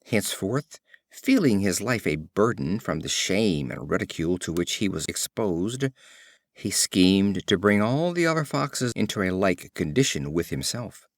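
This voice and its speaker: Casual: guy reading old fables out loud, voice goes up and down a lot, super dry close sound. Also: low-pitched, no echo. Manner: expressive, measured reading. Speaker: audiobook narrator. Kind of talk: scripted storybook narration.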